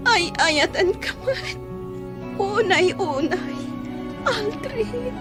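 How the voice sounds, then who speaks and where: An actress with an Ilocano accent playing a lovesick, tearful young mother in an emotional radio drama, with plaintive, drawn-out delivery. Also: extremely high pitch, lively intonation, exaggerated voice. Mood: tearful devotion. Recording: radio drama with loud music bed.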